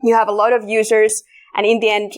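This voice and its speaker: A woman with a Finnish accent presents at a security conference. Her pitch sits in the middle range for a woman, and she talks in a loose, conversational way, pausing on fillers and restarting her sentences. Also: monotone. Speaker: conference speaker. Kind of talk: technical conference talk. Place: conference room.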